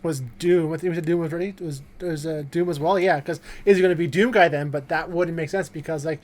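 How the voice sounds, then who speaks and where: A man with a slightly high voice chats casually as a co-host. He rambles, circles back on his phrases and readily agrees with his friends. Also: steady tone, a little fast, a little mellow. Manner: rambling, often echoing and agreeing. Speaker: podcast co-host. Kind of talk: casual group chat among friends.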